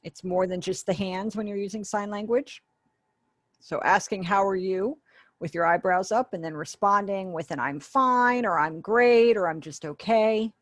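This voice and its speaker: A woman's voice: mid-range voice, normal speed, no room sound. A woman giving an online sign language lesson over a webinar call, patiently explaining and acting out example phrases. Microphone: webinar video call.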